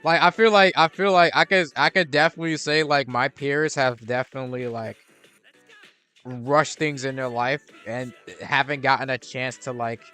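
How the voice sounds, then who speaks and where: A man's voice: medium pitch, steady tone, normal speed, pushed and bright, smooth, faint music behind. A young man chatting casually while playing a game, rambling with frequent restarts and trailing thoughts. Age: young adult. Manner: rambling, lots of restarts and fillers. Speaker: gaming streamer. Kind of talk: casual gameplay commentary.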